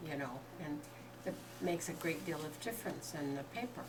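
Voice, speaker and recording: A woman's voice: low-pitched, lively intonation, normal speed. Brief: woman student in an art workshop, chatty, distant with audible hiss. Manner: chatty, finishes the teacher's sentences. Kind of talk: casual chat in class. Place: indoor workshop room. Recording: distant mic with clear hiss.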